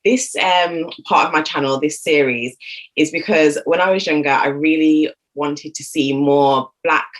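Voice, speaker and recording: Woman, thirties, very low voice, interview host, chatty, loose rambling delivery. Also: unhurried, rough recording. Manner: chatty, rambling with many fillers and restarts. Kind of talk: casual interview chat.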